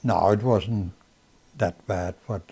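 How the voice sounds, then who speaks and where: An older man with a Danish accent, a native Danish speaker, recalling his life in a slow, halting way with many pauses and fillers. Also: fairly low pitch, vocal fry, mellow, slightly rough. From Denmark. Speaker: father being interviewed. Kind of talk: casual reminiscing interview.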